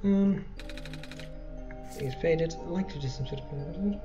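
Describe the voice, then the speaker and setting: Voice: medium pitch, slow pace, lo-fi sound, over music. A let's play host giving casual, rambling game commentary with self-deprecating asides.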